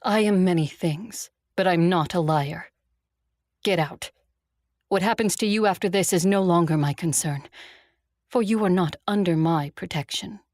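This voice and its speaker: A woman playing an ancient, proud vampire commander in an audio drama, measured, haughty and coolly mocking. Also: on the low side, darkish tone, no room sound, clean studio sound, lightly acted. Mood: cold.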